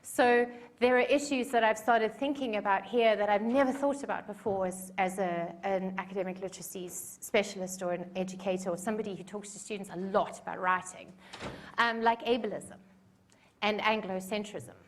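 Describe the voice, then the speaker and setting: A South African woman in her forties speaks at a conference in a mid-pitched voice. She talks in a chatty, self-deprecating way, filling her pauses with ums. Her delivery is relaxed and conversational. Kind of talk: conference talk. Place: conference room.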